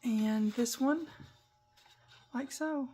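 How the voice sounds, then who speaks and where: A woman hosting a papercraft tutorial, talking through each step in a chatty, instructive way while a little croaky. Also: high-pitched, extremely slow, warm tone, slight background noise. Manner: chatty, instructive, talks while working with hands. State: croaky, clearing her throat. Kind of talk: casual step-by-step craft demonstration.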